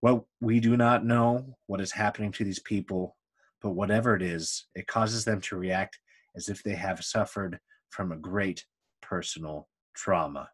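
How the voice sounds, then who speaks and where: A man with a fairly low voice reads from a book. He delivers the text deliberately, phrase by phrase, leaving pauses as he goes. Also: slightly rough. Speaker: book reader. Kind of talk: reading a book aloud.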